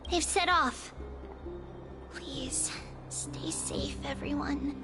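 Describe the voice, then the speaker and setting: An actress playing a gentle, anxious young girl aide in a game, speaking haltingly. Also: sits high, steady tone, exaggerated voice. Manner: hesitant, soft-hearted, halting. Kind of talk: scripted game story dialogue. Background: game music.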